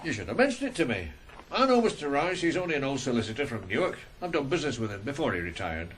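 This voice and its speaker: A man's voice: medium pitch, very animated, fast talker, fairly bright tone. A man acting a shrewd, hearty older farmer in a radio drama. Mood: gruff, friendly. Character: blustery older farmer, the ploughboy's shrewd boss. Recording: noisy old radio broadcast.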